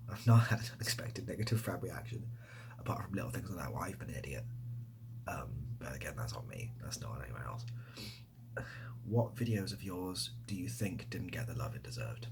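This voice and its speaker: Soft-spoken man, very low breathy voice. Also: noisy background. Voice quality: soft, breathy, often near whisper. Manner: rambling, self-deprecating. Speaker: ASMR video creator. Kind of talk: softly spoken casual Q&A chat. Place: indoors next to a window.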